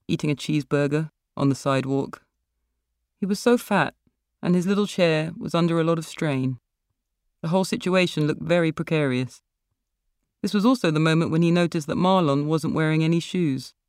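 A woman's voice: low-pitched, normal speed, darkish tone, clear, resonant tone, dry recording. A woman reads literary fiction as its author, in narration style. The recording is very dry, with almost no room in it. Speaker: author reading own story. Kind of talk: literary short-story narration.

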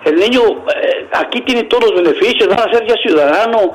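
A man's voice: high-pitched, fairly quick, low-quality recording. A man calling into a radio show, talking hesitantly with many fillers. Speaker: radio caller.

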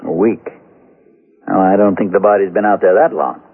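An actor playing a steady, dogged small-town sheriff in a radio western, with a folksy, measured way of talking. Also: male voice, deep voice, husky, narrow, tinny audio. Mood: firm questioning. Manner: folksy, plain-spoken, measured. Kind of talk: 1970s radio western acting and first-person narration. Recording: thin band-limited radio recording, music behind.

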